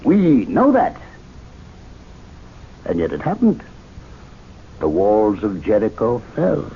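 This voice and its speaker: An actor playing a learned, argumentative club gentleman in a 1970s radio drama, lecturing formally and emphatically. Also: on the low side, huge pitch swings, slow pace, slightly rough, heavily acted. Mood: grave conviction. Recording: dull, noisy 1970s radio recording.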